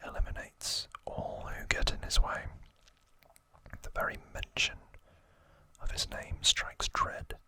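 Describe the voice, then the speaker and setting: A man whispering in a soft, breathy, deliberate way as he hosts ASMR trivia, moving between reading and casual asides. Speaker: host of an ASMR channel.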